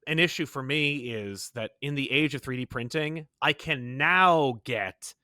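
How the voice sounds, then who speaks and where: A man's voice: mid-range voice, measured pace, bright, forceful tone, no room sound, studio quality. A man hosting a podcast, chatting casually about pop culture in an opinionated, emphatic way. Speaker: podcast host.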